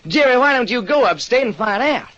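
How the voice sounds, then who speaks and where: Man acting a brisk police lieutenant, muffled radio. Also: very high voice, lively intonation, a bit bright, voice pushed up. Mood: businesslike. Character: brisk, plain-spoken male police lieutenant, detective's ally. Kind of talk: old-time radio detective drama acting. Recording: muffled, noisy old radio recording.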